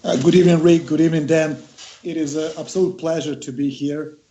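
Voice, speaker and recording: A Russian-born man is interviewed as a guest, telling his story in a friendly way. His voice is a little higher than average but mellow. It sounds echoey, as if recorded in a bare room. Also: fairly even intonation, a little fast, a little hiss or hum. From Siberia, Russia.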